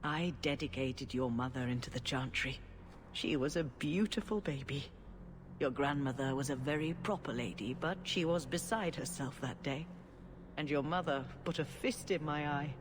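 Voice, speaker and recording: A woman playing a patient, elderly high priestess in a video game, speaking in a measured, gentle and solemn way. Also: natural voice. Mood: gentle, reminiscing. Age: older. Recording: clear ambient noise behind voice.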